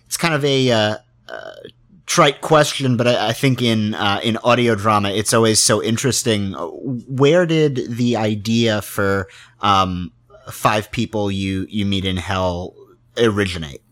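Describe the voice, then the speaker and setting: A man with a lowish voice hosts an interview podcast. He speaks casually and conversationally, often pausing and hesitating as he shapes a sentence. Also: a bit bright.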